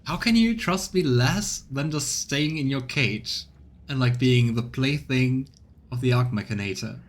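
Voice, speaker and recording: A man's voice: medium pitch, unhurried. A man doing a casual game stream, reading narration aloud and musing between lines. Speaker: let's play streamer. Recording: noticeable background noise.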